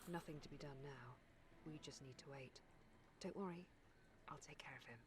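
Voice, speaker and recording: A practical village woman from a video game, played by a woman. Her voice is low for a woman, and she speaks reassuringly in scripted lines. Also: normal speed, background noise, lightly acted. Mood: soothing.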